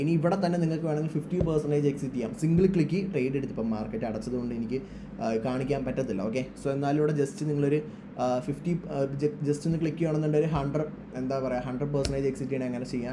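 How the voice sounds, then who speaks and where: Man, quick pace, mid pitch, informal tutorial presenter, instructional, English terms mixed in, noticeable background noise. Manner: instructional, mixes English trading terms into speech. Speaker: trading tutorial presenter, trader. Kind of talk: informal screen-share tutorial.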